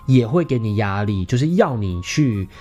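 Animated Taiwanese man telling personal stories. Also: fairly low pitch, normal speed, faint music behind. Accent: Taiwanese Mandarin. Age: 30s. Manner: animated, playful, self-mocking humour. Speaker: podcast host. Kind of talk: casual personal storytelling.

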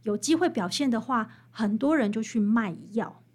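A woman with a Taiwanese Mandarin accent, an interview guest telling stories in an animated, explanatory, conversational way. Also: a little high, moderate pace. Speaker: interview guest, author and researcher of old songs.

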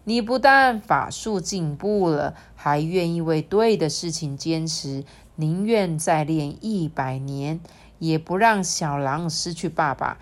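A woman playing a slow, stern heavenly king. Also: mid-range voice, animated. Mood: pleased. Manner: slow and emphatic.

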